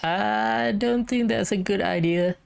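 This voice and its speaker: A woman's voice: mid-range voice, slow pace, gravelly. A woman giving casual, amused gameplay commentary with a teasing manner. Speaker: game streamer. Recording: game music behind the voice.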